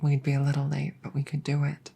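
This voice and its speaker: A woman voicing an apologetic, self-blaming dragon girlfriend in a roleplay audio drama, speaking softly, tenderly and haltingly. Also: sits low, completely flat delivery, creaky, slightly rough, exaggerated voice. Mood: hesitant, subdued.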